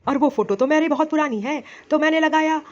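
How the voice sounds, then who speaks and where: A high-pitched, fast-talking cartoon bachelor voiced by a woman. He is boastful and touchy, full of smug bantering retorts. The audio is slightly dull and lo-fi. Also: lightly acted. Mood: mocking. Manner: bantering, self-satisfied retorts. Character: boastful, touchy balding bachelor, quick with insults. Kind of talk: Hindi comedy cartoon dialogue.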